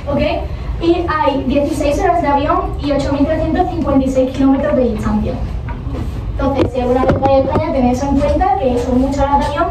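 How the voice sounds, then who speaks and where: Teenage girl, Andalusian accent, class presentation, hesitant fillers, far from the mic, noisy echoey classroom. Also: sits high. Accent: Andalusian Spanish. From Spain. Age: teens. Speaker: exchange student presenting. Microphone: far camera.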